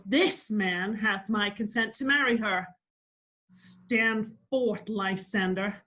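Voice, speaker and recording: A woman's voice: fairly high pitch, a bit bright. A woman playing a stern, indignant old father in a declamatory Shakespearean table read. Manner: declamatory, emphatic listing. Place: remote video call reading. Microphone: video call.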